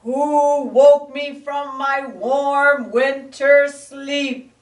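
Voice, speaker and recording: A woman's voice: medium pitch, big pitch swings, unhurried, sharp tone. A woman voicing a grumpy, big male bear who growls and grumbles, in a storybook reading. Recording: roomy, distant mic.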